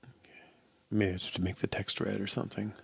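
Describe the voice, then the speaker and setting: A man's voice: on the low side, little pitch movement, fast, gravelly, slightly rough, no room sound. An older man giving casual think-aloud commentary as he codes, musing and muttering to himself. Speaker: programmer hosting a live coding session. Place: indoor room at home.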